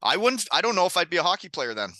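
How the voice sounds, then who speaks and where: A chatty man hosts the conversation, swapping stories and laughing easily. His voice is very bright and forceful. The sound is dry and close. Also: high voice, big pitch swings, rapid-fire, no echo. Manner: chatty storyteller, laughs often. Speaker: podcast host, hockey coach. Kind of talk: casual chat.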